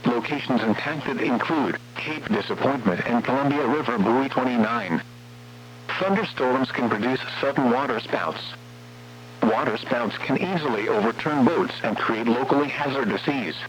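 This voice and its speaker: A synthetic male text-to-speech voice with an American accent, reading formal weather warning bulletins in a measured, impersonal way over a radio broadcast. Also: mid-range voice. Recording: muffled lo-fi radio with faint hum.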